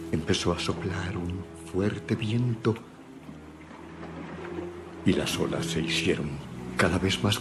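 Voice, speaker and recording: Very deep-voiced man narrating scripture. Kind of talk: scripted dramatized Bible narration. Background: background music.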